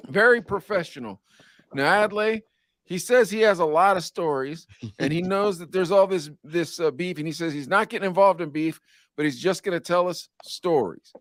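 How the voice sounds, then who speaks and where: A man's voice: sits high, normal speed, a bit bright, no room sound, studio quality. A man co-hosting a podcast in casual chat, teasing the guest in a wry way. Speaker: podcast co-host.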